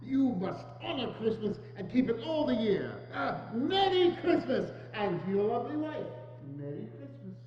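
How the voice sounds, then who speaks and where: A man performs an old reformed miser in a staged reading, giddy and generous. His high voice stammers and swoops theatrically. The sound is muffled and echoes around a church hall. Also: very animated, moderate pace, few pauses. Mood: jubilant. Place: church, community dramatic reading.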